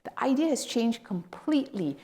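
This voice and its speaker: A woman's voice: on the high side, very animated, moderate pace, mellow. A middle-aged woman from Malaysia giving an academic lecture as a guest speaker. She explains steadily and lifts her voice to stress key words. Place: lecture hall.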